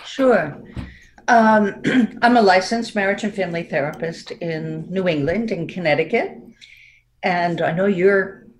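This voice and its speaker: A woman in her sixties with a New York accent, speaking as a therapist guest in a reflective, unhurried way with frequent light laughs. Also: on the low side. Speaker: marriage and family therapist guest. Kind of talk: reflective interview talk.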